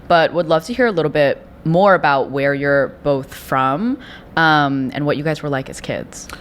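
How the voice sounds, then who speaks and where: Low-voiced young woman hosting a podcast. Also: moderate pace, slight background noise. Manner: warm laughing, relatable. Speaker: podcast host. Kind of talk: casual interview chat.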